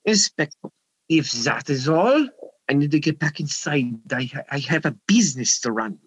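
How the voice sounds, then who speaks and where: Man playing a hesitant, nervous nightclub boss, higher-pitched. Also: expressive, normal speed, slightly rough, no echo. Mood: defensive, flustered. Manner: hesitant, stammering, repeats himself, defensive. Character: nervous, evasive French nightclub owner. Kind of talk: amateur radio mystery acting.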